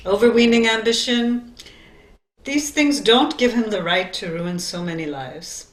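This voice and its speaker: A woman's voice: medium pitch, fairly bright tone. Echoey woman narrating a dramatic play.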